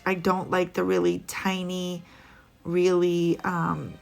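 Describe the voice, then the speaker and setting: A woman's voice: on the low side, monotone, takes it slowly, creaky, soft background music. A woman narrating a casual nail art tutorial, chatty and rambling with little laughs, a bit sniffly.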